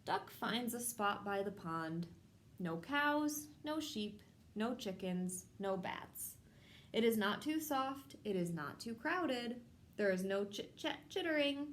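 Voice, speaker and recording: Slow, higher-voiced woman reading to children. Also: lively intonation, a little hiss or hum. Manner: simple, measured phrasing addressed to young children. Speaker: children's storytime host at a public library. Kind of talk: picture-book read-aloud for small children.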